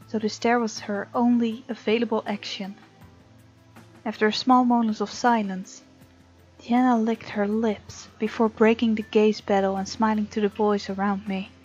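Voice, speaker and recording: A woman gamer reading visual novel narration aloud in an expressive, informal way, with casual commentary of her own. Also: on the high side, moderate pace, breathy edge. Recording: muffled mic, game music behind. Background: game music.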